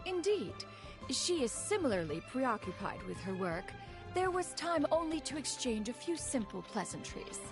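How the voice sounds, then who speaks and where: An ancient crane spirit who talks of herself as "one", pompous and grand in old-fashioned phrasing. Her voice swoops up and down with lively inflection, with soft game music behind. She sounds lofty and sure of her own brilliance. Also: high-pitched, a little slow, heavily acted. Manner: archaic and formal, refers to herself as "one", pompous. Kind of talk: game cutscene dialogue.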